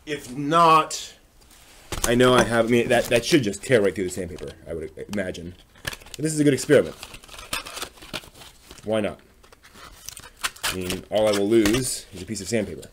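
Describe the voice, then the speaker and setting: Low-voiced man musing aloud, noisy recording. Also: lively intonation, moderate pace, long pauses. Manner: tentative, musing, trailing off. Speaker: host of a live craft stream. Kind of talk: casual hands-on demonstration, thinking aloud.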